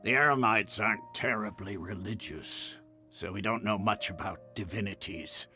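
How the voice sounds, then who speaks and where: Older game mercenary, low-pitched, forceful bright edge, hearty, plain-spoken, faint music. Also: male voice, normal speed. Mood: frank, explanatory. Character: older retired male mercenary advisor, hearty and frank. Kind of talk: video game voice acting.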